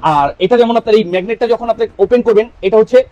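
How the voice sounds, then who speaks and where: A man with a Bangladeshi accent presents a product in a casual sales pitch. His voice sits high for a man and he talks quickly. The sound is dry and close, with very little room. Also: mellow, dry recording, dull audio. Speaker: product presenter for an online shop.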